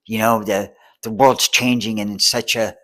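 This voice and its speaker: A man's voice: fairly low pitch, fairly even intonation, a little slow, bright, forceful tone. A man in his forties speaking earnestly and with determination in a rambling vlog monologue, restarting phrases and often asking if the listener follows. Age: 40s. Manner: rambling and repetitive, many restarts. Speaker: vlogger and homelessness advocate. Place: Seattle.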